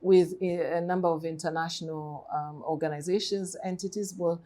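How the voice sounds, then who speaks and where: A woman's voice: on the low side, fairly even intonation, normal speed, warm tone. A woman in her forties from Tanzania, talking thoughtfully as a podcast guest with many ums and restarts. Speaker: guest, nonprofit CEO. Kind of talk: podcast interview.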